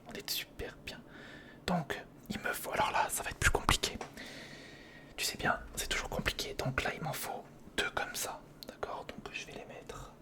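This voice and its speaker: Whispering casual French-language ASMR creator. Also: mid-range voice. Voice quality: breathy, unvoiced whisper. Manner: casual, thinking aloud while assembling, repeats words. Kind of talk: ASMR, whispered build-along commentary. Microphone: close recorder microphone.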